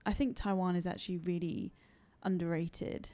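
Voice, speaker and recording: A woman, a podcast guest chatting casually, agreeable and quick with soft murmurs of assent. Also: fairly low pitch, fairly even intonation. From United Kingdom. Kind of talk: casual conversation.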